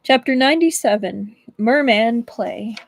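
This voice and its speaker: A woman livestreaming as a book reader, with expressive storybook narration and casual chat. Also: fairly high pitch, unhurried.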